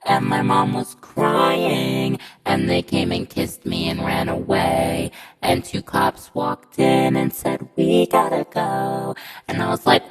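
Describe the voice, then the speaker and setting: A woman telling a casual personal story through a pitch-lowering voice effect. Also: creaky, long reverb tail.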